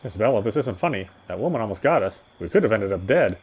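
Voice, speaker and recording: A man's voice: fairly low pitch, animated, fast, darkish tone, breathy edge. A man voicing a shaken young man in a horror visual novel reading, defensive and curt. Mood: annoyed.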